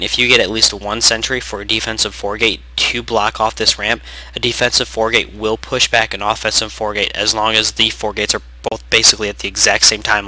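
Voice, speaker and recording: A young man doing casual play-by-play commentary on a strategy game, losing his voice from sickness, rambling and correcting himself, clearing his throat often. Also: on the low side, pushed and bright, rough recording. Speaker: game caster.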